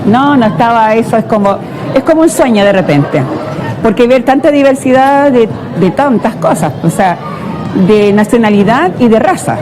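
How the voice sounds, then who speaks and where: A woman's voice: on the high side, expressive, moderate pace, low-quality recording. A middle-aged woman, a schoolteacher answering interview questions. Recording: music and public bustle behind. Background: music, crowd, urban bustle.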